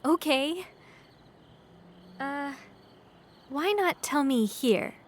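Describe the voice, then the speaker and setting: A woman's voice: high voice, animated, drawn-out words, a little hiss or hum, heavily acted. An actress playing a foolish, naive young woman in love, coy and swooning, in a comedy horror audio drama. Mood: coy, hopeful.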